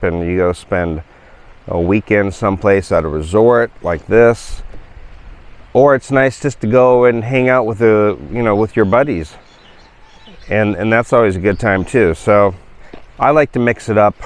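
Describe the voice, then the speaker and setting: A man's voice: lowish voice, smooth. A man of fifty, talking casually and rambling with many fillers as a travel vlogger showing viewers around. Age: 50s. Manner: rambling, relaxed, with frequent fillers and laughs. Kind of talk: casual walk-and-talk vlog.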